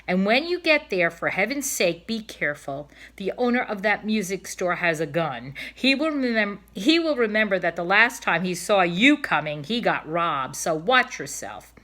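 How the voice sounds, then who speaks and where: A woman voicing a practical, sharp-tongued female swan in a storybook, talking in a brisk, nagging, exasperated way. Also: mid-range voice, very animated. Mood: exasperated warning. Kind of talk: storybook read-aloud character voice.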